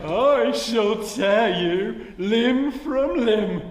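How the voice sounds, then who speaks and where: A man playing a monstrous, menacing but obedient creature with drawn-out delivery in a live horror radio play. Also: extremely high pitch, expressive, exaggerated voice. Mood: slow menace. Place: live in an echoing anatomy theatre. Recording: reverberant hall, music behind.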